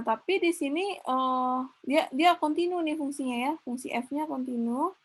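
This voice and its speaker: A woman explains a math proof in a relaxed lecture, often pausing with fillers and correcting herself mid-sentence. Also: high-pitched. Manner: thinks aloud, frequent fillers and self-corrections. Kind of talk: informal lecture with screen notes.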